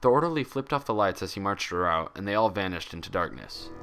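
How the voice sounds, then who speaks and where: Male narrator, scripted story reading, lower pitch, forceful bright tone. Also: lively intonation, fast talker, vocal fry, clear, resonant tone. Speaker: fan fiction reader and narrator.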